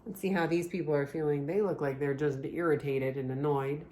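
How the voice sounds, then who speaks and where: A woman with a slightly low voice reads a picture book aloud as a storytime host. Her delivery is expressive storytelling aimed at children. The room is noticeably echoey, with faint noise in the background. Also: brisk.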